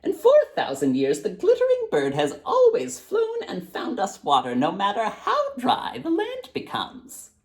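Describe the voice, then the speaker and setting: A woman voicing a blind old grandmother, gravelly and secretive, telling family lore in a drawn-out, teasing way. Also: fairly high pitch, huge pitch swings, darkish tone, pitched above the performer's own voice. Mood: proud, confiding.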